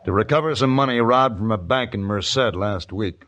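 An actor playing a laconic, steady investigator in an old radio western, with an American accent and a terse, unruffled delivery. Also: male voice, on the low side, moderate pace, lo-fi sound, slight background noise, natural voice. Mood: dry, firm. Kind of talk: old-time radio western acting and first-person narration.

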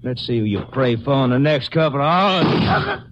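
A man's voice: medium pitch, huge pitch swings, moderate pace, fairly bright tone. An actor playing a sneering, sadistic young killer who taunts in slangy tough-guy talk in an old radio crime drama. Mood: mocking cruelty. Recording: muffled, noisy old radio recording.

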